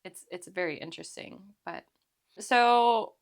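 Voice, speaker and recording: A woman hosting a podcast interview, encouraging her guest with quick affirmations in casual chat. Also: mid-range voice, gravelly. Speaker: podcast host.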